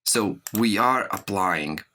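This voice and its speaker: A man giving a software tutorial as an audio course instructor, explaining things step by step. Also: lowish voice, animated, slow pace, faint music behind. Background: mouse clicks and computer keyboard typing.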